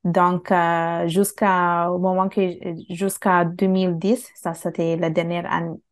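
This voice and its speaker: A woman whose first language is Bengali and who grew up in Italy speaks as an interview guest. Her voice is low for a woman. She talks hesitantly, with frequent fillers and restarts. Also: little pitch movement, slow. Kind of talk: casual conversational interview.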